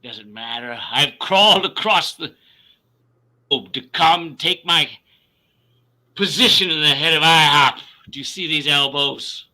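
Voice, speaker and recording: Grandiose crawling zealot, played by a man; high, bright, theatrical. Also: measured pace. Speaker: improv comedian.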